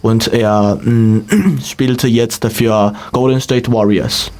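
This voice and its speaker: A man from China, a student guest who halts with many uhs and reads prepared answers more smoothly. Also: lowish voice, steady tone, slow pace, darkish tone. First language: Chinese.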